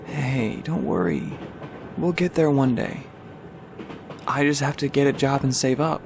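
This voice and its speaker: A man acts out a young stallion looking after his little sister in an audio drama. He is mid-pitched, protective and reassuring, speaking gently over faint vehicle ambience. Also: animated, measured pace, creaky, noisy background. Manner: soft, encouraging, caring. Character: young male pony, gentle, protective, reassuring big brother.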